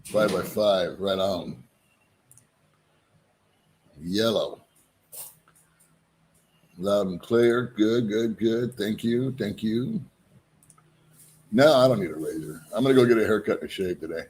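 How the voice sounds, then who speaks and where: A man's voice: fairly low pitch, slow, pauses often, darkish tone, slight background noise. A middle-aged man hosting a casual live stream, joking at his own expense and greeting viewers by name.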